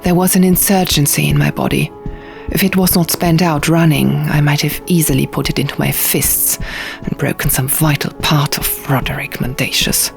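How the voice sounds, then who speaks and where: A woman reading fiction as a dramatic audiobook narration, with measured, deliberate phrasing. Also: sits low, fairly even intonation, vocal fry. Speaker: audiobook reader. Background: music.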